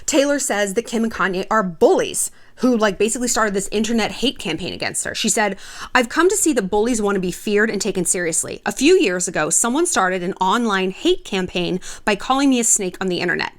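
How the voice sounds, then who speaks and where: A woman's voice: medium pitch, expressive, a little fast. Casual, sassy woman vlogger. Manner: chatty, sassy and irreverent, swears freely. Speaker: host of an online video channel. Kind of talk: casual, gossipy commentary to camera.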